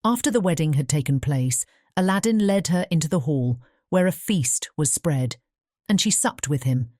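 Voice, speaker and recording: A woman narrating a scripted fairy tale as an audiobook, in a measured storytelling manner. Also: low voice, darkish tone, no echo, studio quality.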